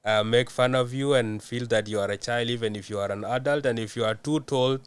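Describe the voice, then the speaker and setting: A young man from South Sudan answering interview questions in a measured way, with many hesitant fillers. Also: medium pitch, fairly even intonation, smooth, no echo. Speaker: guest.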